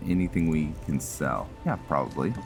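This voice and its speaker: A man giving casual roleplay gameplay commentary, thinking aloud in a halting way as he goes. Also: a bass voice, expressive, vocal fry, over music. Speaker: gaming channel host.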